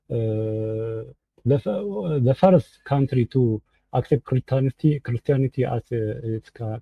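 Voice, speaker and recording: A man from Ethiopia with an Ethiopian accent, giving an informal presentation in halting, hesitant English over a remote call. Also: mid-range voice, gravelly, no room sound. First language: Amharic. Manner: halting, hesitant English with frequent searching for words. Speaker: guest presenter. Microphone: remote online call. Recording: tinny, phone-like internet call audio.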